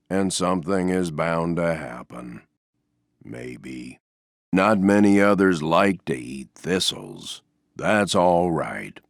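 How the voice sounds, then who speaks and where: A man voicing a gloomy, resigned, self-effacing stuffed donkey for a video game, with a mournful, deadpan, sighing delivery. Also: low-pitched, creaky, dry recording, studio quality, heavily acted. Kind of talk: video game character voice lines.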